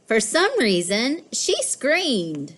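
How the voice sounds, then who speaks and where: A woman's voice: a little high, huge pitch swings, very slow, fairly bright tone, voice pushed up, heavily acted. A woman voicing a smug, mischievous pet cat in a storybook, with a boastful, emphatic delivery. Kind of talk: storybook read-aloud.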